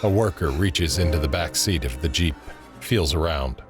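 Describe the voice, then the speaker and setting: A man narrating scene directions in a scripted audio drama, grave and measured. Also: a bass voice, over loud music. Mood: measured, suspenseful.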